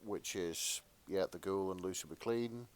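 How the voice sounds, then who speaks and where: Male co-host, fairly low pitch, informal, nerdy and keen conversational delivery. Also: measured pace, clear, resonant tone, no room sound. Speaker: podcast co-host. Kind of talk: casual chat.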